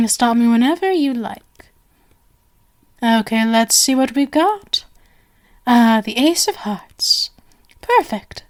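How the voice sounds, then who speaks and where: A woman's voice: a little high, animated, slow, pauses often. A woman playing a genial but sinister stage magician in an ASMR roleplay, with flowery, theatrical, coaxing speech. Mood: playful, showy.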